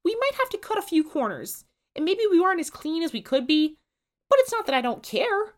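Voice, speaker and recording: A woman's voice: very high voice, very animated, a little fast, voice pushed up. A woman voicing a middle-aged diner owner with a rich Southern drawl, earnest and emotional. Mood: pleading.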